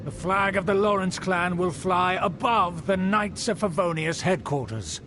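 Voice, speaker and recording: An actor playing a pompous, scheming aristocrat in a video game, speaking in a formal, theatrical manner. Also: male voice, high-pitched, unhurried, background noise. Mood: haughty, boastful. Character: pompous scheming aristocrat man obsessed with clan glory. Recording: game dialogue with music behind.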